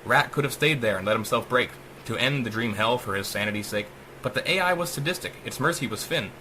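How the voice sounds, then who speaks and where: Animated man narrating dark rhyming verse. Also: mid-range voice, fairly quick, slight background noise, low-quality recording. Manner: theatrical, sing-song verse cadence. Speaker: author reading own story. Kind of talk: dramatic rhymed audiobook narration.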